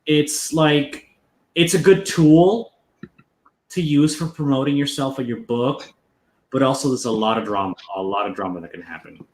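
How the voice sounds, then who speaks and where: A man's voice: mid-range voice, normal speed. Casual male host in a roomy echo. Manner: rambling and informal, full of restarts and fillers. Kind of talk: casual chat on a livestream. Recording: roomy echo, faint noise.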